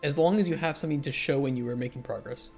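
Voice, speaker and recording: A man who develops indie games, chatting casually on a livestream and thinking aloud as he answers chat, his voice hoarse after hours of streaming. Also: a little high, quick pace, darkish tone, soft background music.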